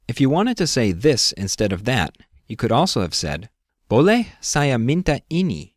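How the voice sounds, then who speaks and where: A man's voice: medium pitch, big pitch swings, normal speed, no echo, studio quality. A man hosting a scripted language lesson, explaining step by step and prompting the listener with questions. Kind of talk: scripted instructional narration.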